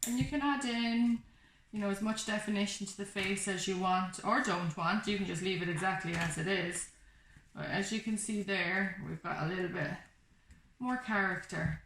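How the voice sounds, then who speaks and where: Woman doing a casual craft tutorial, average pitch, roomy echo, bit of background noise. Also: normal speed, fairly bright tone. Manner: informal, reassuring step-by-step explaining. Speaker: craft workshop instructor. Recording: echoey room with background noise, clicks.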